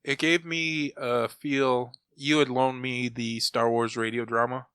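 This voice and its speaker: A man's voice: medium pitch, little pitch movement, unhurried, fairly bright tone, smooth, no echo, clean studio sound. A man in casual podcast conversation, giving his opinions as a panelist. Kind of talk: casual chat, critique.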